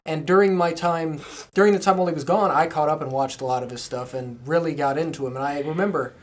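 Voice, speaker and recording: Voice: medium pitch, fast. A podcast co-host chatting casually and enthusiastically as a wrestling fan.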